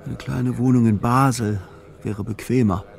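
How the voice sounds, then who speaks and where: A man narrating a literary audio drama in measured, clipped, matter-of-fact phrasing. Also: medium pitch, fairly even intonation, gravelly, a little mellow. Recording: music behind the voice. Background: music.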